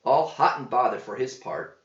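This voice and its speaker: A man reading a verse story aloud as a scripted narration, with measured delivery. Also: medium pitch. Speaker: author reading own verse epic. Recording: roomy, slightly dull home recording.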